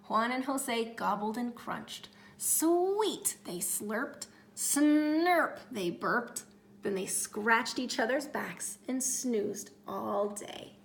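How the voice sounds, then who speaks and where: Woman reading a children's story, slightly high voice. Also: vocal fry, fairly bright tone. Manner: theatrical, deliberate phrasing with drawn-out words. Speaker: storybook reader. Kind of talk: expressive scripted children's story narration.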